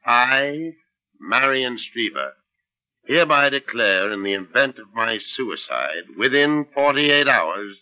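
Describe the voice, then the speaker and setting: An actor playing a formal, probing police inspector in an old-time radio mystery, official and politely insistent. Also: male voice, mid-range voice, expressive, slow, bright, forceful tone, exaggerated voice. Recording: slightly dull old radio recording.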